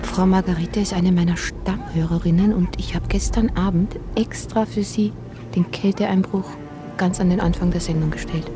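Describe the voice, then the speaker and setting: An actress playing a bemused captive radio presenter, hesitant and dryly incredulous, in a radio drama. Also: female voice, fairly low pitch, fairly even intonation, normal speed, over music, close to an everyday voice. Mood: polite, awkward.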